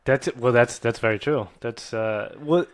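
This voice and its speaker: A man in his thirties chatting on a podcast, agreeable and halting, often restarting his sentences. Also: mid-range voice. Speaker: podcast co-host. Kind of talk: casual chat.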